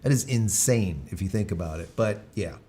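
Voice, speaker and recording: A man's voice: fairly low pitch, animated, normal speed, warm tone. A man hosting a live stream, chatting casually, rambling, with many fillers and restarts. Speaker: livestream host.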